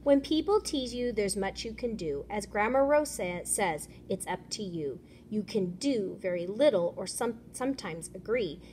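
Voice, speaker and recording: A woman teacher reading a children's picture book aloud in an expressive, instructive way. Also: mid-range voice, normal speed, few pauses, a little hiss or hum.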